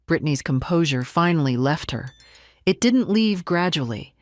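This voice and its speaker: A woman reading scripted first-person dramatic narration in a measured, composed, deliberate manner. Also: deep voice, expressive, dry recording. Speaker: story narrator.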